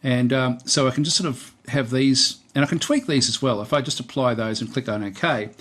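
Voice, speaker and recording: A man giving a casual, unscripted software tutorial, hesitant and thinking aloud as he presents. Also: mid-range voice, normal speed.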